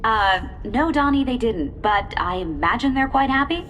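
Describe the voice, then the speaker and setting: A woman's voice: on the high side, expressive, moderate pace, fairly bright tone, recorded from a distance, faint music behind, poor audio. An actress playing a female TV news anchor with polished newsreader delivery.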